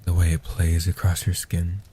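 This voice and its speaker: Casting: male actor as ancient guardian vampire for comfort audio. Very low, dark, soft-spoken, patient and protective, with hushed pauses. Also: completely flat delivery, a little hiss or hum, natural voice. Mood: gentle, reassuring. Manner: slow, hushed delivery with long pauses.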